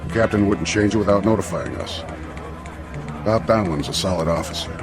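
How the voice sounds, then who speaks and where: An actor playing a matter-of-fact mission control officer in a science fiction radio drama, speaking in clipped procedural phrases. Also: male voice, low voice, fairly quick, over music, natural voice. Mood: grave, matter-of-fact.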